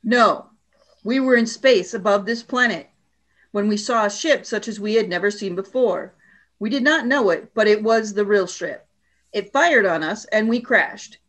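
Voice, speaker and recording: A woman's voice: mid-range voice, normal speed, a bit bright, close to an everyday voice. An imperious alien warrior woman, acted by a woman for a radio play. Her mid-range voice is cold and formal. She speaks as one used to command, stern and haughty. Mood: cold, severe.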